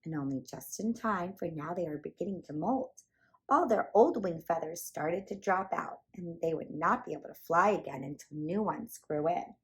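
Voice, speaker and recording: A woman reading a children's picture book aloud as a storyteller, in an animated, emphatic way meant for young listeners. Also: on the low side, normal speed, rough recording.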